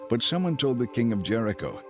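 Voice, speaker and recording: A man giving measured, solemn scripted narration for a dramatized audio Bible. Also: mid-range voice, big pitch swings, over music.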